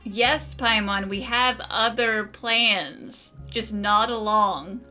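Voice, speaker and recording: A sarcastic woman doing playful gameplay commentary. Her voice is high and bright, and she takes her time, with music in the background. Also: slow pace. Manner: sarcastic, joking. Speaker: gaming channel host. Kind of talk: playful gameplay commentary, improvised character dialogue.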